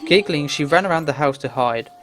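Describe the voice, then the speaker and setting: A woman's voice: deep voice, expressive, a little fast, fairly bright tone, faint music behind. A woman reading a visual novel aloud as narration, stumbling now and then and adding casual asides.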